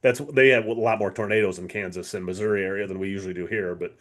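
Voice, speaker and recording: A man's voice: low-pitched, fairly even intonation, quick pace, fairly bright tone. A man with an American accent chatting casually as a podcast co-host.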